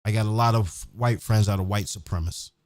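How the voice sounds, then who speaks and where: A man's voice: on the low side, fairly quick, no echo, clean studio sound. A man hosting his own show, giving a blunt, profane, emphatic opinionated monologue in a casual ranting style.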